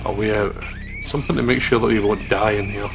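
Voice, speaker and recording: A man with a slightly low voice narrates a visual novel as a let's play host. His reading is dramatic, with clear music behind it. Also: fairly even intonation, fast.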